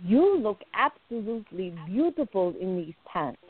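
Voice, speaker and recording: An actress playing a playful, adoring, flirtatious woman in love in a radio play, with a teasing, excitable manner. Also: mid-range voice, moderate pace, no echo. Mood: tender, adoring. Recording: narrow phone-like, dry sound.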